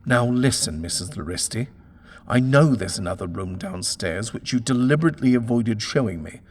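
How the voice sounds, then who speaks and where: A man's voice: on the low side, animated, normal speed, long reverb tail. A man voicing an arrogant, short-tempered house-buyer in a storybook reading, imperious, scornful and exasperated. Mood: demanding.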